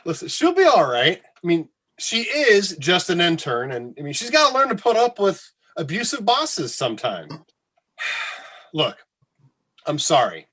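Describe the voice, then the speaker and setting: A man playing an awkward, sarcastic radio colleague with a husky voice and halting delivery in a comedy radio drama. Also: high-pitched, wildly swooping pitch, fairly bright tone, exaggerated voice. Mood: sheepish. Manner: halting, hesitant, stammering.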